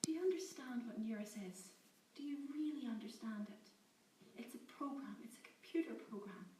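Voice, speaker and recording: A woman's voice: fairly high pitch, expressive, normal speed, natural voice. A woman voicing a terrified captive woman scientist who pleads and wails in panic. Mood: frantic. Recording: roomy, distant, faint background hiss.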